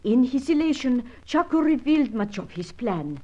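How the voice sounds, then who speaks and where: An actress playing a distressed, fearful European widow who confides in formal, careful, melodramatic phrases in a radio adventure serial. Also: female voice, on the high side, sing-song, normal speed, dark tone, muffled recording. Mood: grave, explaining.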